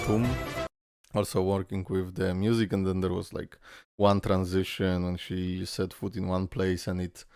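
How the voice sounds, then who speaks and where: A man whose first language is Polish, hosting a casual game reaction video and talking in an excitable, rambling way full of fillers. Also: deep voice.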